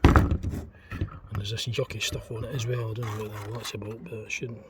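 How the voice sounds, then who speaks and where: A man thinking aloud in an unscripted hands-on demonstration, speaking in a hesitant, tentative, halting way. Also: lowish voice, flat delivery. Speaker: hobbyist demonstrator in a homemade teardown video. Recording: distant mic, clearly noisy homemade recording.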